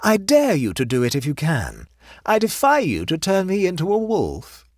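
A man's voice: low voice, very animated, quick pace, breathy edge, no echo, clean studio sound, full character voice. A man voicing a provocative, mocking society hostess in a storybook, playfully taunting. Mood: daring.

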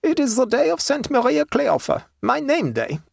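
A narrator voicing a stolid, shrewd peasant woman in a storybook, calm, devout and matter-of-fact. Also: sits high, gravelly, husky, no echo, clean studio sound, voice pushed up, full character voice. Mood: serenely certain. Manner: tranquil, unhurried, matter-of-fact.

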